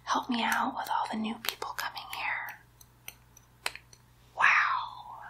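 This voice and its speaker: A woman's voice: a little high, flat delivery. A woman voicing a mischievous young demon girl posing as a nurse, whispering coaxingly and teasingly in an ASMR roleplay. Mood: gushing, excited. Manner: slow, coaxing, teasing whisper with playful baby talk.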